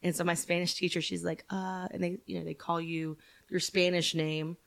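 Young Southern woman, podcast guest, animated storyteller with a gravelly voice. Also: lowish voice, moderate pace. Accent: Southern American. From Jacksonville, Florida. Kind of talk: casual chat.

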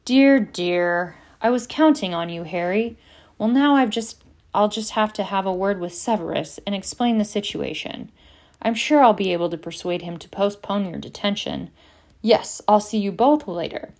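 A woman voicing a stout, genial old professor who booms jovially, in an audiobook. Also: moderate pace, darkish tone, natural voice. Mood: disappointed. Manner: booming, jovial. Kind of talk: audiobook character reading.

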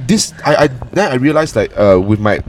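A man's voice: mid-range voice, sing-song, moderate pace, a little mellow, a little hiss or hum. A young Malaysian man co-hosting a podcast in casual, opinionated chat, often checking in with "right?" and repeating words for emphasis. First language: Cantonese. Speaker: podcast co-host.

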